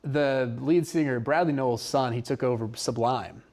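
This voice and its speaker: An American man co-hosts a TV show in loose, casual chat. His voice is of middling pitch. He speaks haltingly, thinking aloud and often repeating his own phrases. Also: a little mellow. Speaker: TV news show co-host. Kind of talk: casual unscripted studio chat.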